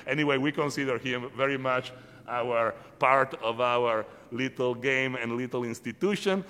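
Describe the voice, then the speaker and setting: A man's voice: medium pitch, moderate pace, bright, forceful tone. A man chairing an academic panel, introducing the next speaker formally with some hesitant fillers. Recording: lecture hall reverb.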